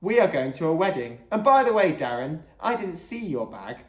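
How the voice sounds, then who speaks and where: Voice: mid-range voice, sing-song, normal speed, pitched above the performer's own voice, lightly acted. An exasperated mother, acted out by an audiobook reader, firm and disapproving. She scolds in a raised, emphatic voice. The room around her is noticeably echoey.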